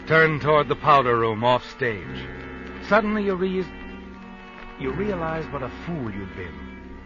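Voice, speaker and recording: An actor playing a knowing, ominous narrator of a 1950s radio suspense show, telling the tale in dramatic, insinuating second person. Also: male voice, medium pitch, lightly acted. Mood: taunting. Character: knowing, ominous male narrator addressing the guilty heroine. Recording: old lo-fi radio recording. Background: faint music.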